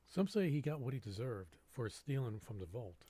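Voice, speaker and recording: A man's voice: mid-range voice, big pitch swings, normal speed, mellow, breathy edge, no room sound. A man streaming a video game, casually commenting on play and reading on-screen text aloud. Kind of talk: live gameplay commentary, reading dialogue aloud.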